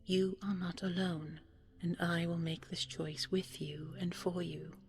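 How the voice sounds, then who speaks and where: A woman voicing a devoted, brave young princess in a bedtime fairy tale, speaking softly and lovingly with a breathy touch. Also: on the low side, flat delivery, measured pace. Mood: tender resolve.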